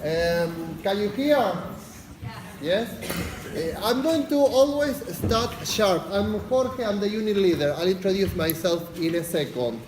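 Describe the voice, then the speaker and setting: Man, Argentine Spanish accent, university lecturer, fast-talking, high voice, echoey lecture hall. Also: expressive. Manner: fast-talking, frequent "yes?" check-ins, filler "uh". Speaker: university lecturer, unit leader. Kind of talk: lecture introduction. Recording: echoey lecture hall, faint background noise.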